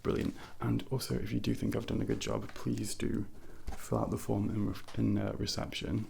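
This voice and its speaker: A soft-spoken man playing a doctor in an ASMR role play, murmuring gentle, reassuring instructions close to the mic and sometimes whispering. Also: lowish voice, flat delivery, moderate pace, vocal fry, a little hiss or hum. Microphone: close-up ASMR microphone.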